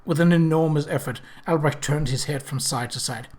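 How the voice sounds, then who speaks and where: A man reading a scripted story aloud as an audiobook narrator, with an expressive, dramatic delivery. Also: a little high, brisk. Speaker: audiobook reader.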